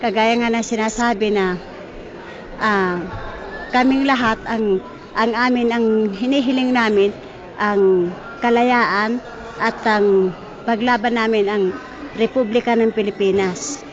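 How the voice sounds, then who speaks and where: A woman's voice: fairly high pitch, steady tone, a little slow, pauses often. A woman with a Filipino accent answering in a street interview as a rally supporter. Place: outdoors among a crowd of marchers. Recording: muffled archive field recording, noisy crowd around.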